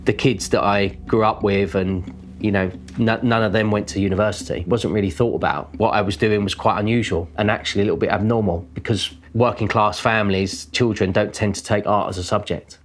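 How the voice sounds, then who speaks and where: A man's voice: on the low side, steady tone, a little fast, faint music behind. A man with a London accent, a painter speaking reflectively in a documentary interview, informal and thoughtful. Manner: thoughtful, informal, with many "kind of" fillers. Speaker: working-class painter.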